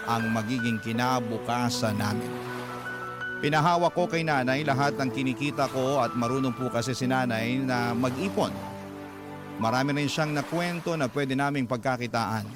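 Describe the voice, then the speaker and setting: An actor plays a devoted son telling his own story in a radio drama. His mid-range voice is earnest and sentimental. Loud music swells under the narration throughout. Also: medium pitch, natural voice.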